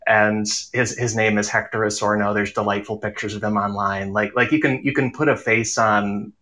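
A man with a fairly low, bright and forward voice talks as a panel guest on podcasting. He is enthusiastic and conversational, often halting and repeating small words as he goes. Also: fairly even intonation. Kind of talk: casual panel discussion.

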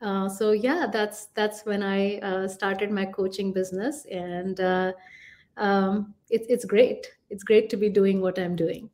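A woman's voice: mid-range voice, steady tone, measured pace, a little mellow. A middle-aged woman from India with an Indian accent, a career coach answering podcast questions in a thoughtful way with many fillers.

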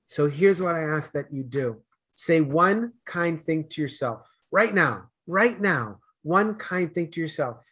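An earnest, emphatic man with a Boston accent speaks as a guest in a relaxed interview. His voice sits somewhat high for a man. When a point excites him, he turns loud and forceful. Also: measured pace, slightly rough. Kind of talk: casual interview chat.

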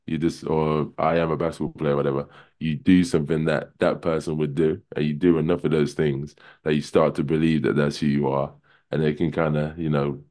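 A man with a remarkably low, deep voice, speaking as a guest on a casual podcast. He talks loosely and at length, often saying "kinda" and "you know" as he works through his points. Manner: relaxed, rambling, many "kinda" and "you know".

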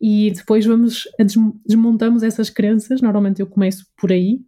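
Very mellow Portuguese woman explaining. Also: medium pitch, fairly even intonation, moderate pace. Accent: European Portuguese. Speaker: voice and communication coach, interview guest. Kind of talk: conversational interview explanation.

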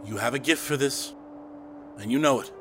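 An actor playing a brash, excitable, stubborn radio director in an audio drama, speaking with grand theatrical emphasis. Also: male voice, mid-range voice, moderate pace, background noise, natural voice. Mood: earnest, persuasive. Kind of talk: audio drama acting set in 1930s radio world.

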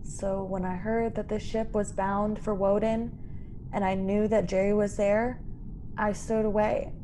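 An actress playing a frightened young woman stowaway in a science fiction radio drama, halting and emotional. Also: mid-range voice, flat delivery, warm tone, a little hiss or hum, natural voice. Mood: wistful, subdued. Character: frightened young female stowaway, pleading, naive and wistful. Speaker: actor in a radio play.

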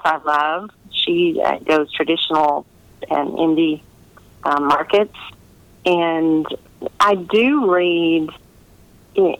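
A woman's voice: deep voice, a bit bright, no room sound, poor audio. A woman chatting casually as an author being interviewed, gracious and enthusiastic in the way she talks.

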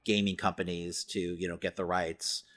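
A man's voice: sits low, flat delivery, moderate pace, fairly bright tone. A man co-hosting a casual pop culture podcast, talking conversationally with lots of "like" and "you know". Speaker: podcast co-host.

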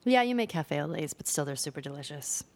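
A woman's voice: low-pitched, animated, fast. A young woman co-hosting a casual podcast, chatting and giggling with a friend. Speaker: podcast co-host. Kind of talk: casual chat.

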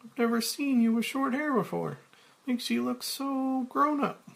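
A man doing a breathless falsetto young woman. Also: expressive, unhurried, creaky, fairly bright tone, exaggerated voice. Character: excitable young woman, earnest activist.

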